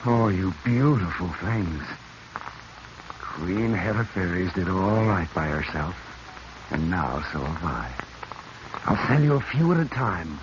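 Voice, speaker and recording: Scheming American man, lowish voice, theatrical old radio delivery, greedy and duplicitous, clearly hissy recording. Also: exaggerated voice. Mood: gloating. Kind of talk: 1940s radio acting. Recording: dull, noisy vintage radio recording.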